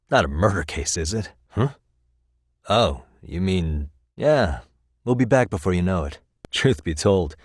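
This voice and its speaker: A man's voice: sits low, breathy edge, no echo, studio quality. An American man voicing a cool, confident, wry private detective in a video game, with a casual, self-assured delivery. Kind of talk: video game dialogue acting.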